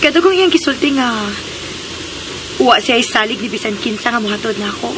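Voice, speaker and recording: A woman's voice: on the high side, animated, fairly quick, lightly acted. A woman in a radio drama playing a sweet, outspoken, admiring young daughter. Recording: noisy radio drama recording.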